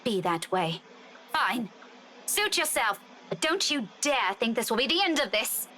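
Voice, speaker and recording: A woman's voice: fairly high pitch, very animated, unhurried, bright, forceful tone, a little hiss or hum, exaggerated voice. An actress voicing a stern, exasperated young woman in a visual novel game, firm and scolding. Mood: angry, accusing.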